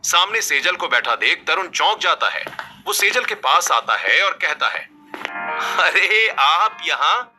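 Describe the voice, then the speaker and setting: A man's voice: a little high, sing-song, normal speed. A man reading scripted narration as the storyteller of a family tale. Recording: faint background music.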